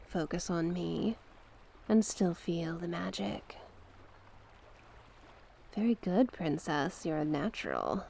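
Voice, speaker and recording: A woman plays a mysterious sea witch for an ASMR fantasy roleplay. The voice is on the low side and full of gravelly fry, moving very slowly. The witch coaxes and encourages with soothing, formal storybook care, softening at times toward a whisper. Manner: gentle, coaxing, formal storybook phrasing. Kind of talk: ASMR roleplay, slow scripted monologue.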